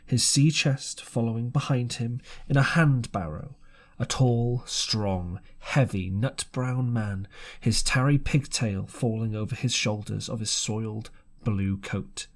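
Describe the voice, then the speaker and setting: Slow-paced man reading a storybook. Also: mid-range voice. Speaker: audiobook narrator. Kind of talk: scripted audiobook narration.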